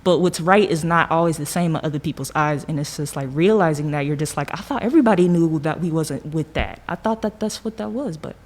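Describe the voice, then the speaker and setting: Young American woman, low register, colloquial, animated, conversational. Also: a little fast, few pauses. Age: young adult. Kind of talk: casual conversation, personal reflection.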